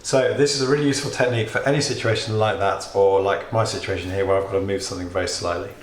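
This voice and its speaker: British diy guy, bit hoarse, chatty tutorial, echoey room with faint hiss. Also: fairly low pitch, fairly even intonation, very fast. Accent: British English. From United Kingdom. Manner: chatty, explains steps plainly with small asides. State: losing his voice, a bit hoarse. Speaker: DIY video host. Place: home workshop or kitchen.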